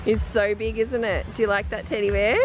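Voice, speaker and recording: A woman in her forties with an Australian accent, chatting excitedly and laughing as she films a shopping trip on a handheld camera inside a big warehouse store. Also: medium pitch, a little fast, faint music behind, rough recording. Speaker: family vlogger. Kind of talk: casual vlog narration while shopping. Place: large warehouse store indoors.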